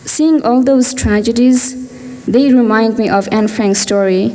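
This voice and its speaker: Earnest teenage girl, halting speech. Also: a little high, dark tone, in a large hall, rough recording. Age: teens. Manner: earnest, with frequent restarts. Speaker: student speaker. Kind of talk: speech at a gala. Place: large event hall.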